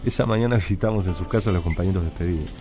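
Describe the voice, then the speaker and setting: A low-pitched actor as a troubled Argentine factory worker in a radio play, brooding and slangy in a Rioplatense accent. He tells his story like a man who swears easily, with music playing under his voice. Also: rapid-fire, warm tone, lightly acted. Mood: brooding, reflective. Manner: slangy, reflective. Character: troubled working-class male factory worker, brooding and foul-mouthed. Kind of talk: radio drama acting and first-person narration.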